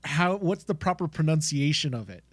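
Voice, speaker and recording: A man with a fairly high voice chats casually as a podcast co-host. He rambles in an excitable way, recorded close and dry with little room sound. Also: moderate pace, dry recording. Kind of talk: casual chat.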